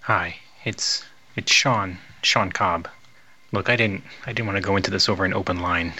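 An actor playing an anxious, exasperated government worker, guarded and self-interrupting in an audio drama monologue. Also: male voice, deep voice, fairly even intonation, normal speed, background noise, lightly acted. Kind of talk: audio fiction voicemail monologue.

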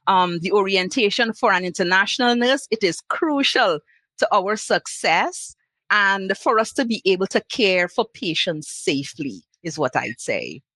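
A woman's voice: mid-range voice, a bit bright, no echo. A woman from Trinidad with a Trinidadian accent, a nurse speaking earnestly on a panel and listing points with emphasis. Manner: earnest, emphatic, lists items. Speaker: international nurse guest. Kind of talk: panel talk.

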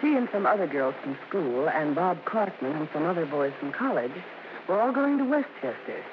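A dull, noisy vintage radio recording of a grown American daughter looking back fondly. She narrates in measured, scripted storytelling, with a lively rise and fall. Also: low voice. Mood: reflective. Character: grown daughter fondly recalling her show-business family. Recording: muffled, noisy old radio broadcast.

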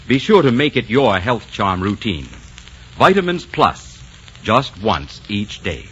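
A man's voice: fairly low pitch, sing-song, a little slow, fairly bright tone, breathy edge, highs cut off. A man announcing in polished, emphatic 1940s radio style, as if reading sponsor copy and credits.

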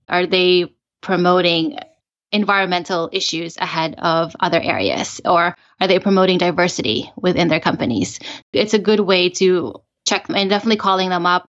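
A woman's voice: lowish voice, a bit bright. A woman in her thirties from the Philippines, a podcast guest explaining her views earnestly in casual conversation. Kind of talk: casual interview chat. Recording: muffled lo-fi audio.

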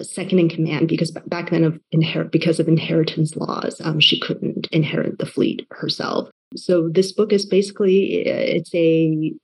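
Breathy chatty woman novelist. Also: sits low, low-quality recording. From Taiwan. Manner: chatty, many fillers and restarts. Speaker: novelist guest. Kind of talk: casual interview answers.

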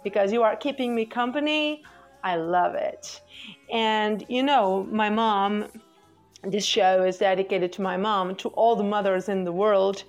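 A woman's voice: medium pitch, soft background music. A Portuguese woman, whose first language is Portuguese, hosts a live show in English with a Portuguese accent, chatting between songs and often searching for words. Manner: unhurried, searching for words with many fillers. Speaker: radio show host.

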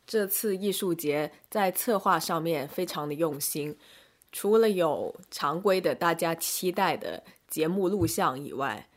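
A woman podcast host giving explanatory review commentary. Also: low-pitched.

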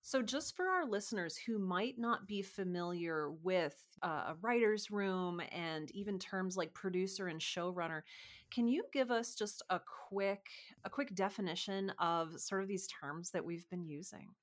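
A woman's voice: medium pitch, a little slow. A woman podcast host with an enthusiastic, effusive manner, speaking in a conversational interview style.

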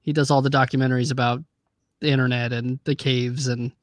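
A man's voice: mid-range voice, flat delivery, fairly quick, no echo, clean studio sound. A man co-hosting a podcast in casual chat, rambling and joking with many fillers and restarts. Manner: rambling, jokey, lots of fillers and self-interruptions. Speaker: podcast co-host and actor.

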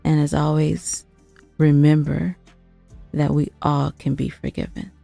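Low-voiced woman, earnest podcast co-host. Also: flat delivery, a little slow, creaky, warm tone, soft background music. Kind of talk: casual podcast chat with appeals to listeners.

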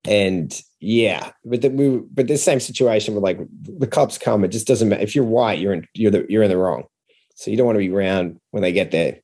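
A man's voice: lowish voice, brisk, a little mellow. An Australian man with an Australian accent, a podcast guest and comedian telling anecdotes in a casual, conversational style.

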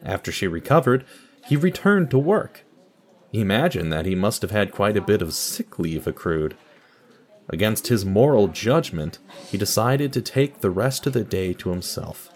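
A man delivering scripted narration and announcements for an audio drama. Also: lowish voice.

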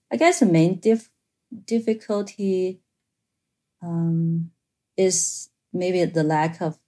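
Slow, low-voiced woman with a Chinese accent. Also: mellow. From China. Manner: thoughtful, halting, many fillers and restarts. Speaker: author guest. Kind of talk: interview answers.

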